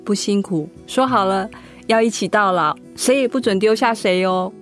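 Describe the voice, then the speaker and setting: An actress playing a gentle, caring, encouraging wife in a Mandarin radio drama. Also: female voice, medium pitch, normal speed, soft background music, close to an everyday voice. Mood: tender, reassuring.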